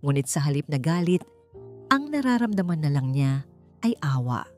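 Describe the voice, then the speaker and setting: Low-voiced woman narrating a romance over music. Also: very animated, moderate pace, a little mellow. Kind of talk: scripted dramatic storytelling narration. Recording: music under the voice. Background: background music.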